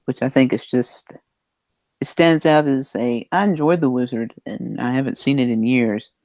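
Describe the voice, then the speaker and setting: A man giving measured, tentative opinions in a casual podcast discussion. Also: mid-range voice, fairly even intonation, darkish tone, no echo, studio quality. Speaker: podcast panelist.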